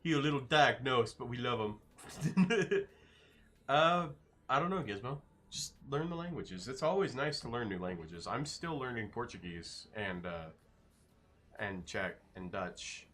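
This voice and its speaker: A man's voice: mid-range voice, lively intonation, measured pace, pushed and bright. A man streaming live, casually reading and answering his chat with loose, joking replies.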